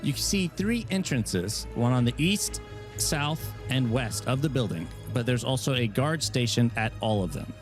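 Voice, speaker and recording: A man's voice: medium pitch, unhurried, music in the background. Man narrating as game master. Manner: descriptive, steady scene-setting.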